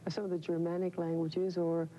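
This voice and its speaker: Effusive female talk host with a mellow tone, on dull, slightly hissy old TV audio. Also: fairly low pitch, little pitch movement. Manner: warm and effusive, quick to chime in. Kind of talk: interview chat. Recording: dull lo-fi 1990 TV audio, faint hum.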